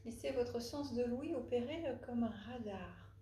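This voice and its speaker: A woman leading a guided relaxation as a yoga nidra instructor, speaking haltingly with long pauses and frequent hesitations. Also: fairly high pitch, dark tone. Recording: roomy echo, faint background noise.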